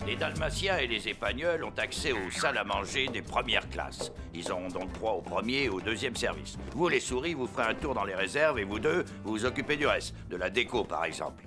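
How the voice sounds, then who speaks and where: A man voicing a bossy, authoritative leader of animals in a French animated film dub. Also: fairly low pitch, brisk, few pauses, music in the background. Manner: authoritative, instructing.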